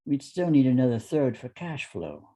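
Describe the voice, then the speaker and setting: Man acting a careful businessman, very dark and husky. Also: mid-range voice, sing-song. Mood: measured, careful. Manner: measured, deliberate, formal. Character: careful British businessman quietly plotting an escape. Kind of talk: table read of a TV drama script.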